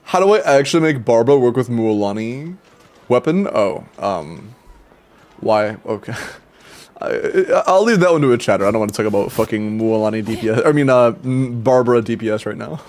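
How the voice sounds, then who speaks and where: A man's voice: medium pitch, expressive, moderate pace, a little mellow. Stuffy young man chatting on stream. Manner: rambling, restarts, swears casually, laughs often. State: stuffy, congested from sinuses. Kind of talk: casual livestream chat with viewers.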